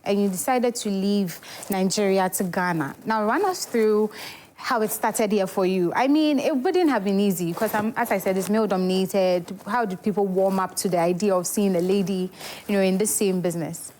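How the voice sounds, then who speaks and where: A woman's voice: mid-range voice, moderate pace, darkish tone, slight background noise. Chatty woman hosting a morning show. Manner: chatty, playful questioning. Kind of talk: TV studio interview.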